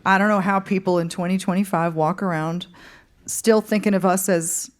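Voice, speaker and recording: A woman musician from the Upper Midwest talking frankly with a host in a relaxed interview on a stage. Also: lowish voice, moderate pace. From Fargo-Moorhead area, Upper Midwest. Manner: candid, frank, laughs easily. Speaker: musician guest. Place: stage before a live audience.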